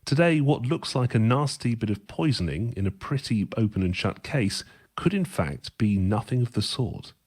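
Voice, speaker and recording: Male radio announcer, mid-range voice, lively intonation, scripted programme introduction. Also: mid-range voice, normal speed. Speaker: continuity announcer.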